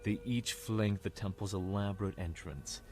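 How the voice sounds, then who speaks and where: A man's voice: on the low side, fairly even intonation, normal speed, a little mellow, a little hiss or hum, natural voice. A man acting a wry, reflective Egyptian prince who narrates his past in an audio drama. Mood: awed, descriptive. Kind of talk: scripted first-person narration. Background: music.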